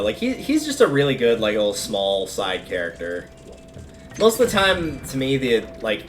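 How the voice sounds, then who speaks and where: A man's voice: lowish voice, a bit bright. A man livestreaming a video game, giving casual, rambling commentary as he thinks aloud.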